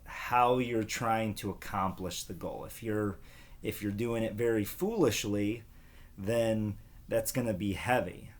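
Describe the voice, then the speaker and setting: A man reading a children's book aloud like a teacher, pausing to explain and ask young listeners questions. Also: fairly low pitch.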